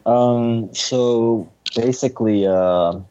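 A man's voice: medium pitch, very slow, warm tone, highs cut off, a little hiss or hum. A man from Hong Kong, a podcast guest in casual chat, talking hesitantly with many fillers and restarts.

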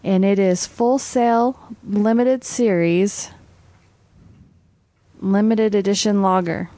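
A woman's voice: mid-range voice, steady tone, slow pace, mellow, no room sound. Playful woman co-hosting a podcast. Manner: playful, teasing, giggly. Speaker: podcast co-host. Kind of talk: casual chat.

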